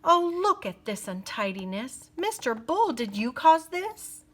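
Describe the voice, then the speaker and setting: A woman's voice: sits high, very animated, a little slow, a bit bright, voice pushed up, exaggerated voice. A prim, fussy storybook lady in a wig, put on by a woman reader, speaks in a raised, gushing voice. She is tender-hearted and proper, and takes her time over her words. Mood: prim surprise. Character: three fussy, tender-hearted wigged ladies.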